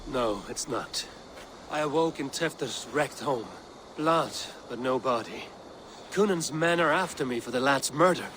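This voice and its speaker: Man acting a wary Viking over loud ambience. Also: medium pitch, animated, a little slow, background noise, lightly acted. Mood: urgent, wary. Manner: terse, plain-spoken. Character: adult Viking warrior, wary, determined, plain-spoken. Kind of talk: video game character acting.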